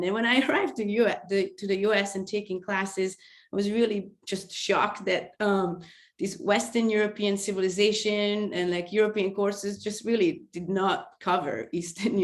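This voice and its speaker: A woman in her forties with a Hungarian accent, a history professor giving halting, hesitant panel remarks over a video call, often laughing. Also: mid-range voice. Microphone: video call mic.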